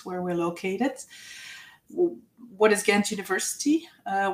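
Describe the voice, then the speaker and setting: A Belgian woman, Dutch her first language, giving an online university presentation in English with a Flemish accent, pausing often on filler sounds. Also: fairly low pitch, a little mellow. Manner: hesitant, many filler uhs. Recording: echoey room.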